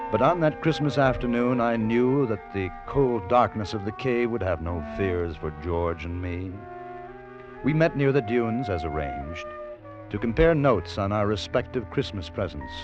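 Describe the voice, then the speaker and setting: A deep, reflective man narrates his boyhood memories in 1940s radio drama style. He is measured and nostalgic, with music behind him on a dull, band-limited old recording.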